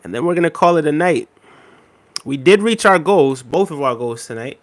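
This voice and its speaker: A man in his thirties hosting a casual livestream, reading viewer comments aloud in a relaxed, repetitive way with many fillers, a little unwell and tired. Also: fairly high pitch, moderate pace, no echo. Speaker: livestream host.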